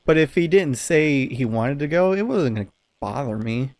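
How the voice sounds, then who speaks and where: A man's voice: mid-range voice, expressive, normal speed, darkish tone. A man chatting casually as a podcast co-host, telling anecdotes in a rambling, informal way with some swearing. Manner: rambling, informal, profane at times.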